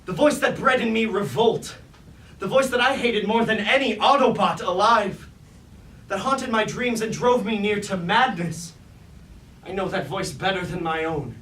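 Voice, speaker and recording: A treacherous, anguished robot underling acted by a man with a high-pitched voice. He declaims in archaic language like a tragic stage player, suspicious and resentful. The sound is echoey and picked up from a distance. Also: moderate pace, a bit bright. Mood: haunted. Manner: theatrical, declamatory archaic speech. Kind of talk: staged reading of mock Greek tragedy. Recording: roomy, distant mic, faint background noise.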